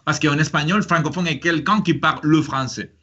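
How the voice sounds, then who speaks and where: A man with a Spanish accent and a forceful, bright tone, hosting a relaxed conversation. He talks haltingly, with frequent fillers and restarts. The recording sounds dull and lo-fi. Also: on the high side, husky. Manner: hesitant, many fillers and restarts. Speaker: host. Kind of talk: casual conversational chat.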